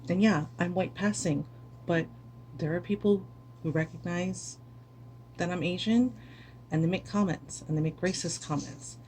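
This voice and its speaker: A woman's voice: fairly low pitch, a little slow, long pauses, darkish tone, slight background noise. A weary woman streamer giving an earnest, impassioned speech to camera, repeating words for stress. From United States.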